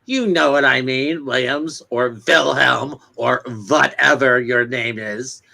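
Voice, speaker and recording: Man playing a sharp, gravelly, bigoted customer. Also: mid-range voice, takes it slowly, heavily acted. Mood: accusing. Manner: curt, accusatory. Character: hostile, bigoted small-town customer, suspicious and accusing. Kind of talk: dramatic comic-book reading.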